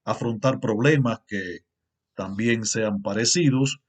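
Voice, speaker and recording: A middle-aged man with a Cuban Spanish accent, a university lecturer giving a formal conference talk in a measured, earnest way with pauses and fillers. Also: on the low side. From Cuba.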